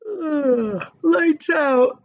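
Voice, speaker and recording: A woman's voice: high voice, expressive, very slow, dull audio, voice pushed up, heavily acted. A woman voicing a bossy, impatient, grumpy male centipede in a children's storybook. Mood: drowsy, grumbling. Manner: impatient, commanding.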